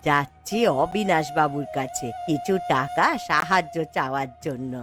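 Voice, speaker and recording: A woman acts out a weary old grandmother from a cartoon fairy tale. Her voice sits low and moves slowly, gentle and plaintive, with patient hope. Faint music runs behind it. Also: close to an everyday voice. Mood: hurt, humble.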